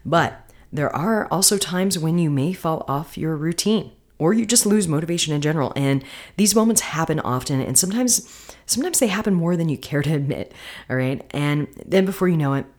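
A woman hosting her podcast, talking casually and encouragingly with a husky voice gone hoarse from singing. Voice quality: husky, deeper than usual. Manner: chatty, encouraging. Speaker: podcast host. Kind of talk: casual solo podcast talk.

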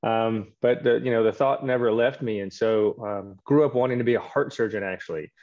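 A man's voice: fairly low pitch, a little fast, a little mellow. Man, fifties, from Canada, interview guest, rambling, muffled lo-fi sound. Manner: rambling, many fillers and restarts. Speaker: guest surgeon. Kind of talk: relaxed storytelling interview.

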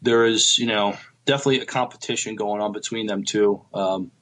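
A man's voice: lowish voice, fairly even intonation, brisk, a bit bright, poor audio. A man in a casual podcast discussion, talking in a hesitant, thoughtful way as he gives his opinions. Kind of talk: casual TV review chat.

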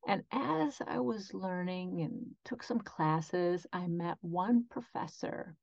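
A woman voicing a guest's answers as an interpreter in an interview, speaking in measured phrases with frequent pauses. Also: medium pitch, a little mellow, no echo. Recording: dry, slightly dull lo-fi audio.

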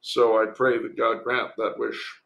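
Male podcast guest, heavy vocal fry, erudite and discursive, halting as he explains history in conversation. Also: medium pitch, little pitch movement, a bit bright. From United States. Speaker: podcast guest, author and commentator.

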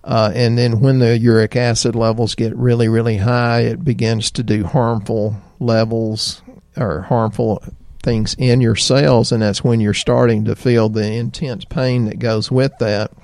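A middle-aged man with a Southern American accent, a doctor chatting in a folksy, rambling way about health, his voice slightly hoarse. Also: mid-range voice, little pitch movement, measured pace, a little mellow, dry recording, studio quality. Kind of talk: health talk show chat.

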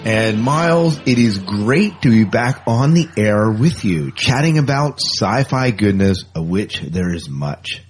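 A man's voice: lowish voice, animated, a little slow, hardly any pauses. A man co-hosting a casual sci-fi fan podcast, rambling and jokey, often repeating words for emphasis. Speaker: podcast co-host.

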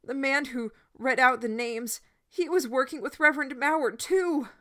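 A woman voicing a desperate teenage boy who shouts and pleads with a crowd. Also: fairly even intonation, slow pace, gravelly, exaggerated voice. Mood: pleading. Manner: pleading, shouting, high and loud.

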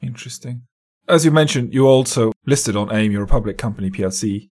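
A man's voice: mid-range voice, fairly quick, darkish tone. A man asking an investor direct questions as the interviewer.

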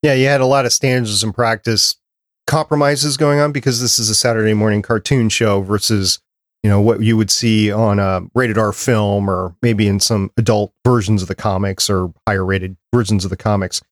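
A man's voice: lowish voice, steady tone, moderate pace, no echo. Guy hosting a podcast, casual review talk, asks questions, super dry audio. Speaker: podcast host. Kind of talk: casual panel discussion, reviewing a cartoon.

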